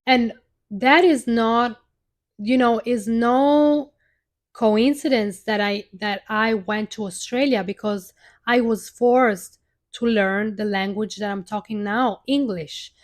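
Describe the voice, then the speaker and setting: Earnest Italian-accented woman, slightly high. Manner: earnest, repeats and restarts phrases, slows to stress words. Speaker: live-stream host. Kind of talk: personal storytelling monologue.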